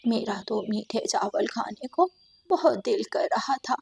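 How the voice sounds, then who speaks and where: A woman voicing an affectionate old grandmother bird in a cartoon, with a husky voice and doting manner. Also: on the high side, animated, heavily acted. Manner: slow, doting.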